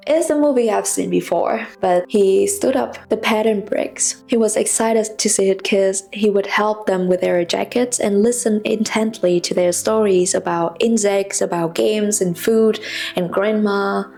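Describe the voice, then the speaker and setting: A woman in her twenties, a non-native English speaker, narrating a reflective, scripted personal story in a confessional, measured way. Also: mid-range voice, vocal fry, darkish tone, faint music behind. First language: not English. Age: 20s. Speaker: personal vlogger.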